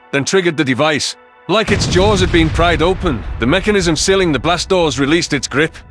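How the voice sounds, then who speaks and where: Male audiobook narrator for sci-fi fiction, fairly high voice, music underneath. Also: fairly bright tone. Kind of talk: scripted sci-fi story narration in first person.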